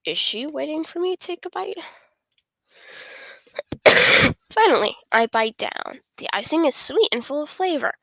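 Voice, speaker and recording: A woman's voice: high-pitched, very animated, unhurried, vocal fry, pauses often, pushed and bright, dry recording, poor audio. A woman streamer reading a visual novel aloud, often stumbling over the words and correcting herself.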